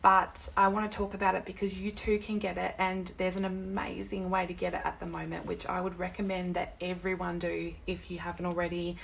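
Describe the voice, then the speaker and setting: A young woman with a croaky, sore-throated voice, hosting a casual live craft tutorial from her desk at home. She rambles chattily, greets viewers by name and keeps apologising. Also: fairly low pitch, flat delivery, brisk, hardly any pauses, slight background noise, low-quality recording. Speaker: craft demonstrator and live-stream host.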